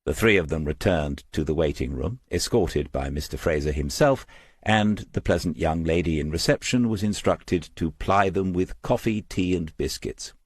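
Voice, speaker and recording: Very deep-voiced man narrating crime fiction. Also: moderate pace, husky, no echo. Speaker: audiobook narrator.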